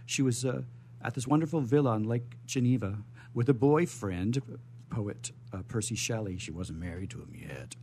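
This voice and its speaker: A man's voice: medium pitch, animated, normal speed, darkish tone, a little hiss or hum. A man hosting a live radio show, rambling playfully off the cuff with theatrical phrasing.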